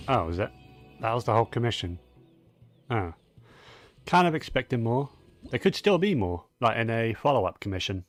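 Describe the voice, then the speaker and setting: A man casually commenting on his own gameplay, low-key and muttering to himself as he plays. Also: big pitch swings, normal speed, pauses often, soft background music. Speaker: gaming channel host.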